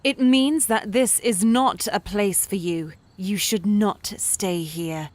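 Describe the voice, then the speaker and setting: An actress voicing an eerie ghostly girl who speaks slowly and ominously. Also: medium pitch, no room sound, close to an everyday voice. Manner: slow, solemn, ominous. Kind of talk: voiced game dialogue.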